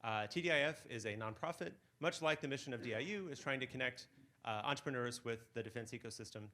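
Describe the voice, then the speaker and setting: Fast-paced, earnest man, opening remarks at an event. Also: medium pitch, bright, forceful tone. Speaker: event host for a defense innovation office. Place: event space.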